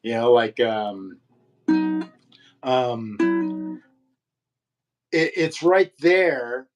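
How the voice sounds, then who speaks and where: A man with a low voice in casual conversation, rambling and halting with many fillers and restarts. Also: expressive, soft background music. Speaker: podcast co-host. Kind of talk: casual chat about guitar.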